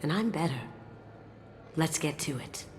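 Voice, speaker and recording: An actress playing a sly, self-assured master thief in a video game, breezy and wry. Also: female voice, low voice, expressive, unhurried, very noisy. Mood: confident, businesslike. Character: sly, confident master thief woman, playful and cool. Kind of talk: video game character acting. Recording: music behind the dialogue. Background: game soundtrack music.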